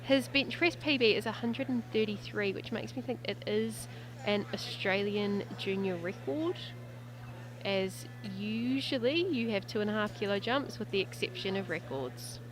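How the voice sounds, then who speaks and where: A woman's voice: mid-range voice, normal speed. A native English-speaking woman doing live sports commentary, chatty and lightly joking.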